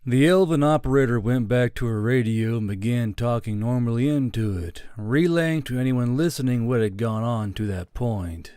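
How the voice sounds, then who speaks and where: A male audiobook narrator with a mid-pitched voice and a very dry, close recording. He reads scripted fiction in a dramatic storytelling style. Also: normal speed, few pauses, dark tone, clean tone, no echo.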